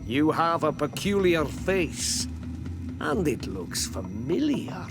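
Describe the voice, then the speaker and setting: A dignified, shrewd island chief in a fantasy game. He talks slowly and courteously, with lively intonation over prominent background music. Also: on the high side. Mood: curious. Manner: deliberate, courteous. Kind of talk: fantasy video game acting.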